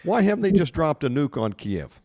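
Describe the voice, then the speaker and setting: A man's voice: fairly high pitch, sing-song, moderate pace, a little mellow, long reverb tail. A man hosting a podcast, asking questions conversationally and reacting with short interjections. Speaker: podcast host.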